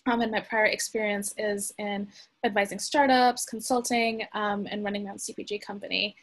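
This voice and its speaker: A woman's voice: mid-range voice, fairly even intonation, moderate pace. A woman moderating a panel, introducing herself and putting questions to the panelists.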